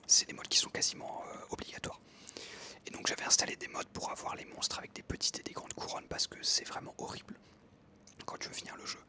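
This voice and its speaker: A young adult whispering breathily in a casual ASMR style, chatting informally and enthusiastically while narrating gameplay up close. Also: a little fast. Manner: informal, enthusiastic gamer talk.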